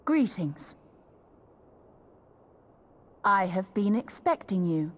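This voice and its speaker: An actress playing a poised, solemn young woman scholar in a video game, calm and formal. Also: mid-range voice, very slow, full character voice. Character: poised, solemn maiden scholar of a secret order. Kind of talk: video game cutscene acting.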